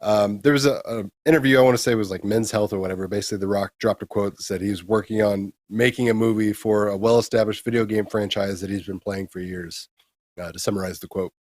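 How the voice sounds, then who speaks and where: A man's voice: on the low side, fairly even intonation, fast talker, no echo, studio quality. A man co-hosting a gaming news show, chatting casually with blunt, sarcastic opinions. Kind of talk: casual chat and opinionated commentary.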